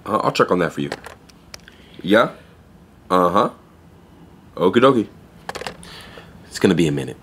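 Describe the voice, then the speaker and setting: A young pet shop clerk in an audio comedy. His voice is very low, and he delivers everything in a bored, blunt deadpan, as if he could not care less. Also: moderate pace, pauses often, a little hiss or hum. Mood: bored, dry. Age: young adult. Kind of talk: comedic audio drama acting.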